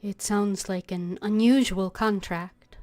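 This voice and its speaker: A woman's voice: medium pitch, fairly even intonation, takes it slowly, darkish tone. A woman streaming a game, reading dialogue lines aloud and adding casual commentary. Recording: game music and keyboard typing behind.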